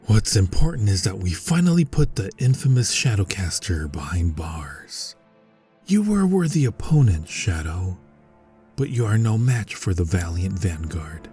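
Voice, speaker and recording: A man's voice: deep voice, very animated, a little slow, warm tone, slightly rough, faint music behind, heavily acted. A man playing a valiant, earnest superhero captain in an audio roleplay, speaking in formal, grandiose heroic declarations. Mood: triumphant, noble.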